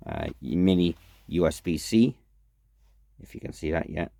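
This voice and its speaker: A man giving a casual, unscripted product demonstration as a reviewer, in a halting, matter-of-fact way. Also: low voice, big pitch swings, creaky, warm tone.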